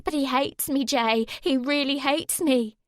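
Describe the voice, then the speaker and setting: A woman's voice: high voice, measured pace, no room sound, studio quality, voice pushed up. A woman reading aloud and voicing a frightened teenage girl who pleads desperately and tearfully. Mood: pleading.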